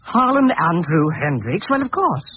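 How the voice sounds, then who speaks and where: An actor playing a haughty, easily flustered museum director in a prissy, pompous 1940s radio style. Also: male voice, a little high, very animated, a little slow. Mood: eager, fussy. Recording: muffled old radio broadcast, faint hiss.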